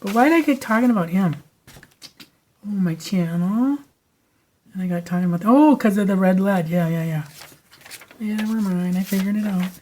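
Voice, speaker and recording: A raspy-voiced woman rambles through a homey anecdote, full of "like" and "you know." She talks into the camera's own mic rather than a clip-on. A faint noise sits under her voice. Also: mid-range voice, normal speed, long pauses, mellow. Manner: chatty, digressive, lots of fillers. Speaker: crafting channel host. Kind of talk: rambling casual chat. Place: home. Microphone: no microphone, camera mic.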